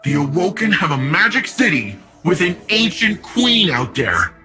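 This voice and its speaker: A man's voice: sits high, big pitch swings, moderate pace, over music. An actor playing a big, cheerful, cocky young male city defender in a video game, with a breezy, slangy, eager and friendly manner. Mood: amused, excited.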